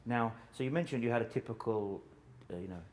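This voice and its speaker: A man's voice: medium pitch, fairly quick, a little mellow, slight background noise. A male show host who narrates, then interviews a guest and sums up his answers back to him in a friendly way.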